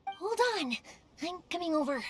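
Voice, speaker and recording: A woman voicing a cheerful, caring young woman in a video game, chatty, animated and reassuring. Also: extremely high pitch, normal speed. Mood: conspiratorial, reassuring. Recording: background music under the voice.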